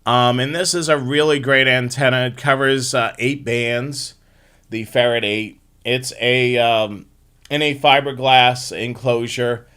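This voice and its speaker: A man's voice: mid-range voice, fairly even intonation, unhurried, bright, forceful tone, clear, resonant tone. A man hosting a live hobby show, explaining things step by step in an informal slideshow presentation.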